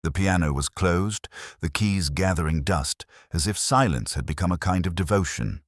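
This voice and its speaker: A man reading scripted first-person detective fiction in a measured, literary, brooding manner. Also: sits low, expressive, dry recording, clean studio sound. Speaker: narrator.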